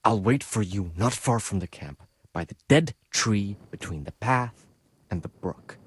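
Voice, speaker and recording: An actor playing a soldier guard who quietly lays out a plan to help a prisoner escape, giving hushed, conspiratorial instructions in a game cutscene. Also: male voice, lowish voice, animated, measured pace, slightly rough. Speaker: video game character.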